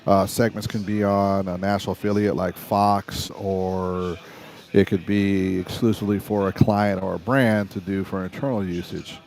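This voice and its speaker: A middle-aged man with a raspy voice, hosting a casual livestream about cameras in a loose, stop-start way. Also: low voice, flat delivery, normal speed, darkish tone. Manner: conversational, stop-start, salesy about gear. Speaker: livestream host and videographer.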